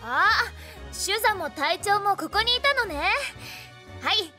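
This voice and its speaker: 女性声優が、優しく押しの強い面倒見のよい若い女性をゲームのラジオドラマで演じている。. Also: female voice, very high-pitched, expressive, slow pace, faint music behind, pitched above the performer's own voice, exaggerated voice. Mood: kindly insistent. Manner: gently insistent, feminine phrasing. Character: caring, bossy young woman, big-sister type. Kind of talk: game radio drama acting.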